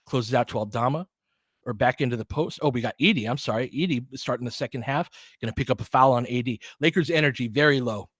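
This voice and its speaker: A man's voice: mid-range voice, fast talker, fairly bright tone, slightly rough, no echo, clean studio sound. A man giving informal, candid basketball play-by-play and opinionated commentary as the host of a fan stream.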